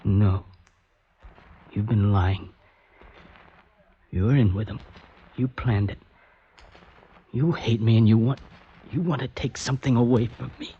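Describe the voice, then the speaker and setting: A man's voice: lowish voice, husky. An actor playing a desperate poet searching for his wife, who also narrates in a 1950s radio drama. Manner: pleading and frantic in scenes, measured when narrating. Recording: dull old radio recording with music under narration.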